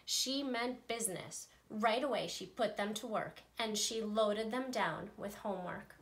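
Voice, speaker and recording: A woman, a teacher, reading a children's picture book aloud with playful, expressive delivery. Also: medium pitch, measured pace, a little hiss or hum. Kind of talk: storybook read-aloud.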